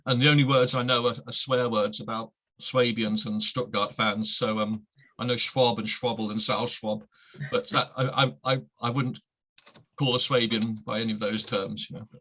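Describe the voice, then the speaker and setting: Chatty man with a bright voice. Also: mid-range voice, flat delivery, moderate pace, poor audio. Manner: chatty, self-deprecating, stumbling restarts. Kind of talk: online panel discussion. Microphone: video call.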